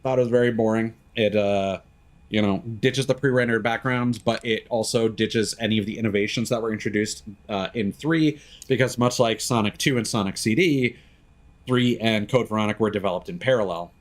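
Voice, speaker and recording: A man's voice: lowish voice, fairly quick. A man giving casual, opinionated, sweary commentary as he streams a game live.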